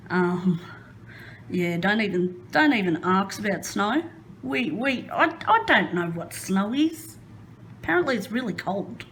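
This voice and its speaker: A nervous Australian woman talks slowly and unhurriedly, filling her pauses with ums and soft self-conscious laughter. She chats casually about herself as she works at a craft table indoors, recorded on a phone. A faint background hiss sits under her voice. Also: mid-range voice. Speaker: crafting vlogger. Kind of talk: nervous casual chat while diamond painting.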